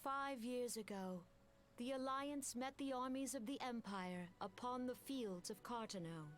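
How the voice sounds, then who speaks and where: An actress voicing a solemn woman military commander who addresses her people in a grave, formal and measured way. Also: on the high side, lightly acted. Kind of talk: video game cutscene voice acting.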